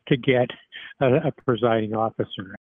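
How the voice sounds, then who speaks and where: A man's voice: medium pitch, unhurried, breathy edge, no room sound. Male expert, filler-heavy, heard over a thin, dry phone line. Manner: hesitant, many fillers. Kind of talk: interview clip.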